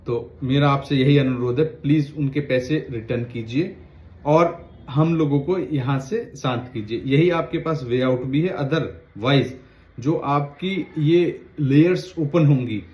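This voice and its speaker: A man's voice: on the high side, darkish tone. Earnest man appealing in a roomy room. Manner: earnest, pleading yet warning. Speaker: lawyer. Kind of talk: direct-to-camera appeal. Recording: roomy, dull lo-fi sound.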